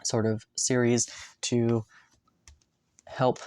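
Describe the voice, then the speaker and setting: A man's voice: mid-range voice, flat delivery, extremely slow, a little mellow, smooth. A man talking casually and enthusiastically about his manga purchases, rambling with many ums and uhs. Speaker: manga collector vlogger. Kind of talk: casual haul video talk. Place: bedroom, indoors.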